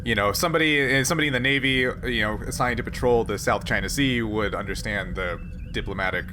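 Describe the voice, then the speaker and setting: A man acting as game master, explaining the setting to players in a halting, conversational way. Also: mid-range voice, sharp tone. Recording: clear background noise.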